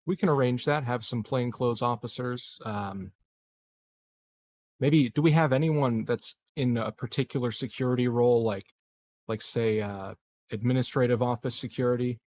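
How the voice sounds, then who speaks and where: Halting man in casual game chat. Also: mid-range voice, a little mellow, no room sound. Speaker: player in a tabletop roleplaying game.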